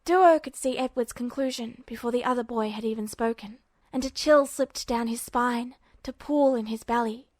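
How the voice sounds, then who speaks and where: A woman's voice: fairly high pitch, normal speed, hardly any pauses, darkish tone, no echo. A woman reading scripted fiction as the narrator of an amateur audiobook. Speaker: podfic narrator.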